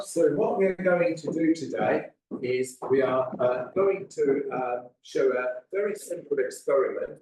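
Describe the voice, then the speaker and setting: A man's voice: medium pitch, gravelly, a little mellow. A middle-aged man, head of science, explaining a class experiment with many hesitations. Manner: hesitant, many ums and uhs. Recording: very echoey room.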